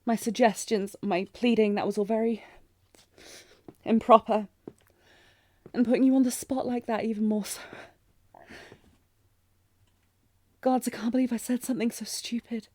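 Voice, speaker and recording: A woman's voice: on the high side, fairly even intonation, normal speed, a little mellow, no room sound, lightly acted. A woman playing a tender, anxious young princess in a romantic audio drama, speaking formally and hesitantly with sighs. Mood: contrite, flustered. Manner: formal archaic diction, hesitant, breathy sighs. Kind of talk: scripted romantic fantasy audio roleplay, intimate.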